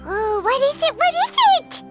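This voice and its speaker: Tiny excitable companion, very high and lively, third-person speech, over loud game music. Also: female voice, moderate pace. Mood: eager. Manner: talks about herself in third person, eager and bouncy. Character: tiny chirpy floating companion girl, excitable. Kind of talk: game cutscene dialogue. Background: game soundtrack music.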